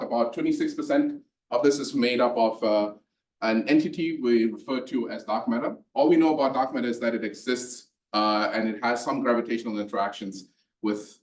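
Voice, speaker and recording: A man from South Africa with a South African accent, whose first language is Northern Sotho, giving a relaxed physics lecture with a storytelling touch and frequent fillers. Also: mid-range voice. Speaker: guest lecturer. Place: university lecture hall. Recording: echoey lecture hall from a distance.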